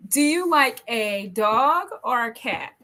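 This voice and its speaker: A woman from the American South hosts a relaxed podcast conversation with a slightly high voice. She affirms her guest often and turns playful when she leads a quick either-or game. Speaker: podcast host. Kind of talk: casual interview chat.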